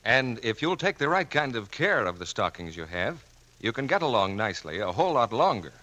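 A man's voice: medium pitch, very animated, a little fast, bright, forceful tone, rough recording. A man working as a 1940s radio announcer, reading scripted introductions and ads in a polished, persuasive way.

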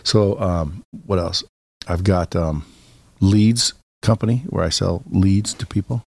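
A man's voice: low voice, fairly even intonation, slow pace, vocal fry, darkish tone, slightly rough, no room sound. A man talking casually as a podcast guest and entrepreneur, blunt and self-assured, with a salesman's way of putting things and some mild swearing.

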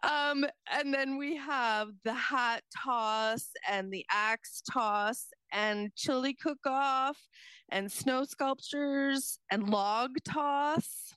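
A woman's voice: high-pitched, drawn-out words, fairly bright tone, smooth, no echo, poor audio. A woman chatting casually on air as a community reporter.

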